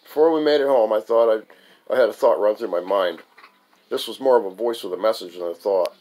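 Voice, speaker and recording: A man's voice: on the low side, a little fast, a little mellow, poor audio. A man reading his own first-person story aloud as its author, in a recitation style with the occasional stumble over the text.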